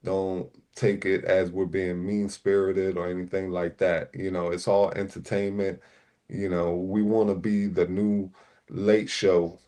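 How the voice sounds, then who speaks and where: A man's voice: sits low, level tone, measured pace, dark tone. A man born in Puerto Rico and raised in Newark, New Jersey, a panel regular talking in casual livestream chat, laid-back with many "you know" fillers.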